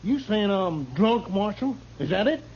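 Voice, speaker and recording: A man's voice: sits high, expressive, measured pace, slight background noise. An actor playing a belligerent drunken frontier townsman, slurred and challenging, in a 1950s western radio drama. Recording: muffled old radio broadcast, loud music.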